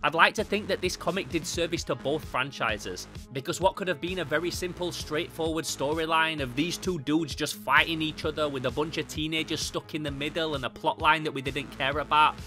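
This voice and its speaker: A man's voice: on the high side, brisk, hardly any pauses, pushed and bright. A young adult man narrating and reviewing a horror comic as a YouTuber, casual and enthusiastic in delivery. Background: background music.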